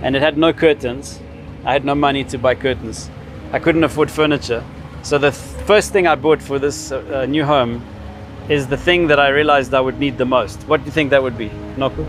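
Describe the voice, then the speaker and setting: A man's voice: medium pitch, fairly even intonation, a little fast, background noise. A man in his fifties with a Zimbabwean accent, telling personal stories in a casual, explanatory way as a vlogger. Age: 50s. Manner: reminiscing, explanatory. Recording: music behind the voice.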